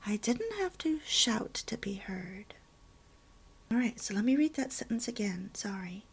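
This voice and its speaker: A woman hosting a homemaking channel, reading a pamphlet aloud at home and adding her own comments in a soft, hushed, half-whispered voice. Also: fairly high pitch, lively intonation, unhurried. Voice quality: breathy. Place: home, early morning.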